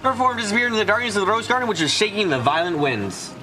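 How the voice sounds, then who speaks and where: A bright, lively streamer narrates a visual novel during a let's play. The reading moves fast and sometimes stumbles, with game music faintly behind. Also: medium pitch, low-quality recording. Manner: stumbles and repeats words while reading.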